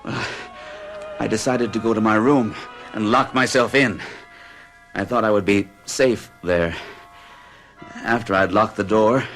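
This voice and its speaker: A man's voice: lowish voice, steady tone, unhurried, a bit bright, faint music behind. An actor narrating and playing an earnest, alarmed man in an old radio drama. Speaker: narrator and protagonist. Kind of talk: 1970s radio drama acting.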